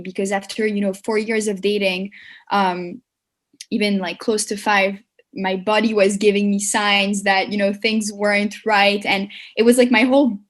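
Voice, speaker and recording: A woman in her twenties from France, a podcast guest talking in a relaxed, chatty way with plenty of fillers and restarts. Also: mid-range voice. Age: 20s. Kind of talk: casual conversational interview.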